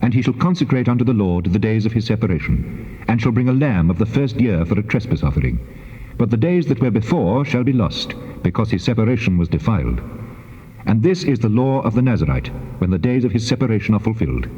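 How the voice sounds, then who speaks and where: An actor playing a solemn, commanding God who pronounces sacred law in a measured, formal recitation. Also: male voice, fairly low pitch, big pitch swings, mellow. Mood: solemn, instructive. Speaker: actor in dramatized Bible. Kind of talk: dramatized scripture recitation. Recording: dull tape transfer with long reverb.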